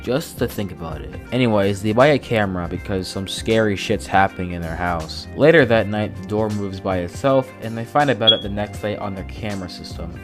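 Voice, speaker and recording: Man, lisp, stutter, slow deliberate pace, casual swearing, fairly low voice, at home. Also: over music. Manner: deliberately slow and calm delivery. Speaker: YouTube commentator. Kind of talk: casual commentary recap.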